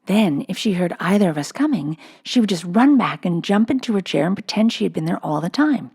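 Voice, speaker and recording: A woman as an earnest, breathy little girl. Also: on the low side, lively intonation, fairly quick, darkish tone. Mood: confiding. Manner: earnest, whimsical. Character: imaginative, serious little girl confiding about her doll.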